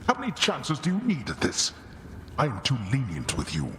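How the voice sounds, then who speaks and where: A man's voice: medium pitch, wildly swooping pitch, moderate pace, fairly bright tone, long reverb tail, slight background noise. A man voicing a stern, contemptuous male god of the dead scolding his son in a video game. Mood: scornful. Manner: cutting, contemptuous, commanding.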